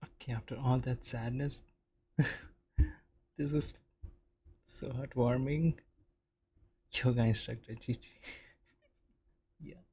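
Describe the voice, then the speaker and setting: Slow-talking, mellow man reacting to a game. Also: medium pitch, steady tone, husky. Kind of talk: casual reaction commentary. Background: game music.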